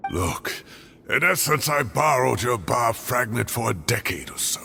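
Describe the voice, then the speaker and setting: A man's voice: mid-range voice, animated, a little slow, pushed and bright, a little hiss or hum, full character voice. An actor playing an aging male professor in a video game, earnest and pleading as he defends someone he raised. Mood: defiant. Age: older. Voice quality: gravelly. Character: aging male scholar, protective and defiant.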